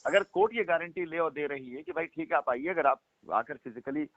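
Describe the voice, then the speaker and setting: A man's voice: on the high side, sing-song, brisk, vocal fry. A man on a phone call, speaking for a company, making his points insistently and repeating them for emphasis. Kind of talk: persuasive phone conversation. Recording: tinny phone line.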